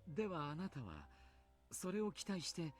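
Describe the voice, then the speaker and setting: An actor voicing a calm, polite adult male doctor who speaks in a soft, measured, formal way. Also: fairly high pitch, soft background music. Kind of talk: Japanese game voice acting.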